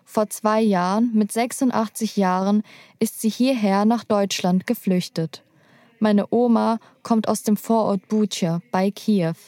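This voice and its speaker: A young woman narrates a documentary in a calm, measured voice with a soft, mellow tone. She is recorded close and dry, with no room sound around her. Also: medium pitch, no room sound. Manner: calm, measured, personal storytelling.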